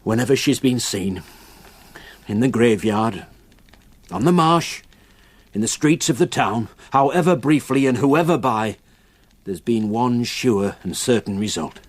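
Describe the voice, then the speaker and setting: An actor playing a grave countryman in a radio drama, telling of a haunting slowly and deliberately. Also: medium pitch, no echo, lightly acted. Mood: ominous. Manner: slow, deliberate storytelling with weighty pauses.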